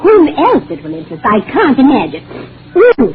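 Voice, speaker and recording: An actress playing a bossy, scolding middle-aged wife in a vintage radio drama, nagging and sharp-tongued. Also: female voice, high-pitched, wildly swooping pitch, normal speed. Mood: scornful. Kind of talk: 1940s radio drama acting. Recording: muffled, phone-like old radio broadcast.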